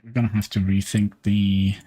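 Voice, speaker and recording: A man's voice: on the low side, little pitch movement, mellow, soft background music. Man thinking aloud over gameplay. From Norway. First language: Norwegian. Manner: thinking aloud, halting with fillers. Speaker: streamer. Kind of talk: live gameplay commentary.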